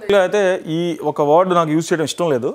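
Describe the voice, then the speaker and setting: A man's voice: on the high side, racing. A man with a Telugu Indian accent asking questions as the interviewer in a conversational film interview.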